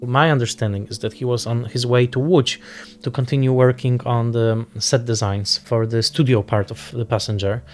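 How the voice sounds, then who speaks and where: A man giving reflective interview commentary on film, thoughtful and halting as he searches for words. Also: medium pitch, steady tone, a little mellow.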